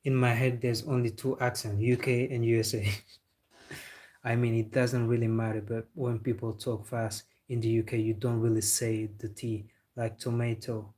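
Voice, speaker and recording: A man's voice: mid-range voice, level tone, normal speed, darkish tone. A young man hosting a football live stream, chatting casually with viewers in a loose, laid-back way. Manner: loose and chatty, calls viewers bro, talks with a laugh.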